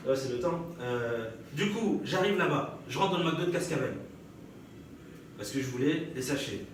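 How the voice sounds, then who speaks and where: A man's voice: mid-range voice, lively intonation, normal speed. A young man with a French accent doing live stand-up storytelling, chatting and bantering with the audience and laughing often. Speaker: stand-up comedian. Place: live comedy stage before an audience. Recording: echoey live room, distant mic, audience noise.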